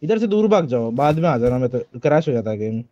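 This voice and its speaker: A man's voice: mid-range voice, big pitch swings, a little fast, warm tone, dull audio. A man calling out positions and chatting casually with teammates in a shooter game. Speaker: squad gamer calling out enemy positions. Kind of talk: casual gaming chat and callouts.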